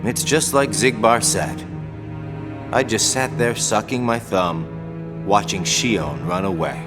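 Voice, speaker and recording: A man's voice: on the low side, slow pace, music in the background. An actor playing a laid-back, guarded young man in a video game, with an offhand, sardonic manner. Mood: dry, evasive. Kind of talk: video game cutscene voice acting.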